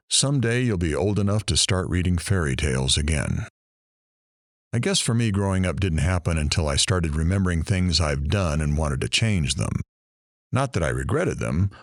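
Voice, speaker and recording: A low, dry-recorded voice for a musing, frank interviewer, acted by a man in an audio drama. He is conversational, and his pitch moves a lot as he talks. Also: big pitch swings, normal speed, no echo.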